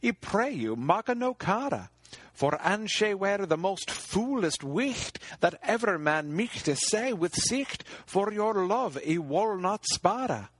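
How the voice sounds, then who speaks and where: A man's voice: sits high, very animated, takes it slowly, a bit bright, no room sound, pitched above the performer's own voice, full character voice. A man voicing a loyal young knight, speaking archaic verse earnestly and emphatically.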